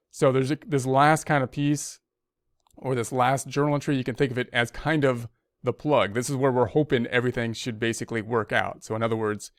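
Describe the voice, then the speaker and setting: Smooth-voiced male accounting instructor, dry close sound. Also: mid-range voice, no echo. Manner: conversational, explanatory, repeats and rephrases points. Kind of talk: informal step-by-step tutorial narration.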